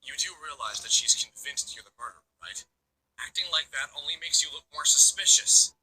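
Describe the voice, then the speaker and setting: An actor playing a stern, wry male police detective in a visual novel game, with a husky voice and firm, matter-of-fact authority. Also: on the high side, animated, a little fast, pushed and bright, full character voice, poor audio. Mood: wry, probing.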